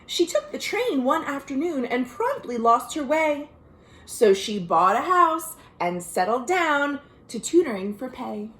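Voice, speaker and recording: An American woman, a children's librarian, reading a picture book aloud in an expressive, sing-song way with chatty asides to young listeners. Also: high-pitched, measured pace, roomy sound, poor audio. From United States. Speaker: children's librarian hosting a virtual storytime. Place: at home.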